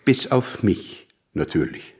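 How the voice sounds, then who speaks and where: A low, husky male voice for a radio play: a brilliant, melancholic mathematician. He speaks deliberately, with wry pomposity and a sense of urgency. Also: sing-song, darkish tone, exaggerated voice. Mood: self-assured, measured. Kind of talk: radio drama acting.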